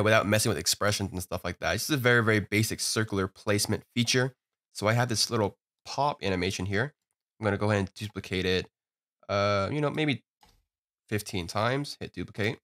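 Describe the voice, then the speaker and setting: A man walking through a software tutorial in a relaxed, upbeat way, often filling gaps with "you know" and "uh". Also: fairly low pitch. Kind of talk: screen-recorded software tutorial.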